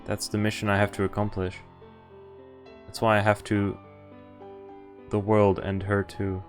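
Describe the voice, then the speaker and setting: A man with a fairly low voice reads a game's story aloud as he streams. His delivery is informal and a little halting, like a live playthrough. Soft game music plays faintly behind him. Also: completely flat delivery.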